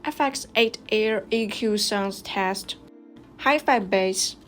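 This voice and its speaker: A woman's voice: medium pitch, slow pace. A woman narrating a scripted product review step by step as the reviewer. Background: music.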